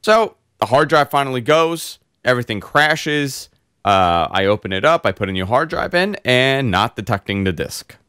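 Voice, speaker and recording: A man hosting a casual tech podcast, hoarse and congested, telling long rambling stories full of fillers. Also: mid-range voice, normal speed, no room sound, studio quality. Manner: rambling, animated storyteller with many fillers. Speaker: host.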